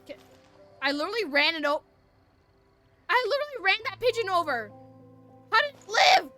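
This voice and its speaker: A woman's voice: extremely high pitch, big pitch swings, fairly quick, pushed and bright, soft background music. A woman giving casual, playful, exclamatory commentary as she streams a video game live.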